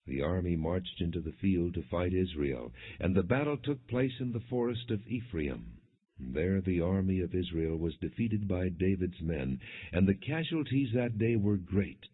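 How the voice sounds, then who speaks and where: A man reading scripture as an audiobook narrator, in measured, clearly enunciated storytelling without dramatization. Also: deep voice. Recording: muffled, phone-like lo-fi audio.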